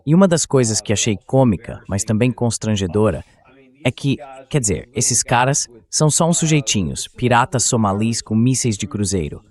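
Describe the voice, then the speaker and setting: Male host voice for dubbed political commentary, middle pitch. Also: animated, moderate pace, darkish tone.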